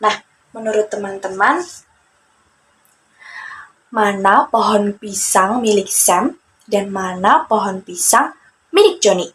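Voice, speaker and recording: A woman's voice: medium pitch, takes it slowly, long pauses, fairly bright tone, slight background noise. A young adult woman narrating a children's fable, speaking directly to her listeners as a storyteller.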